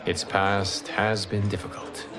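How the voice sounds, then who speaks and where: An actor playing a calm, wise mentor in a video game, explaining things in a measured, formal way. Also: male voice, on the low side, over music, natural voice. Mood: solemn, instructive. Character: calm, wise male mentor of a young champion. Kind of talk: dramatic video game acting.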